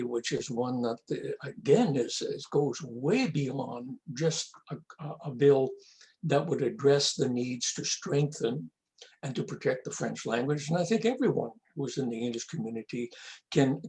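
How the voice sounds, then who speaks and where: An older man with a gravelly, mid-pitched voice answers interview questions. He speaks earnestly and deliberately, often restarting his sentences. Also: darkish tone. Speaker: association president, guest.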